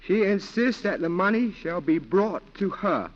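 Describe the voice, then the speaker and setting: An actor in a 1940s radio drama playing a polite, calculating clerk and go-between who speaks formally and precisely. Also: male voice, sits high, expressive, measured pace. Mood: deferential, sly. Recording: muffled 1940s radio broadcast recording.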